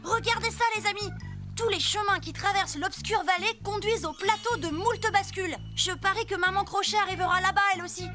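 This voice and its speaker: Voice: very high-pitched, brisk, over music. A performer playing a confident, cheerful little boy pirate leader in a cartoon, upbeat and commanding. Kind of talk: cartoon voice acting.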